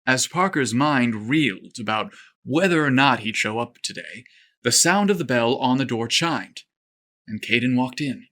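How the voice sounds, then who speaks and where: A male storyteller reads a scripted tale, lively and expressive. His voice is bright and clear, set at an ordinary pitch. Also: measured pace.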